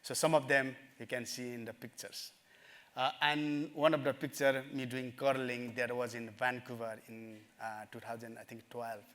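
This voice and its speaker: Man, middle-aged, mid-pitched, earnest, halting with fillers, personal story talk. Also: level tone, a bit bright. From Nepal. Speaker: speaker at a summit. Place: conference stage.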